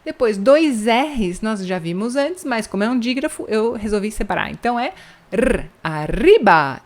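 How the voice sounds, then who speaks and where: A woman's voice: a little high, sing-song. Brazilian woman, young, casual online teacher, keeps checking in, drawls out example words. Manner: chatty, checks in with "tá bom?" after points; slows down to spell out example words. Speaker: Spanish teacher. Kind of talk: online language lesson.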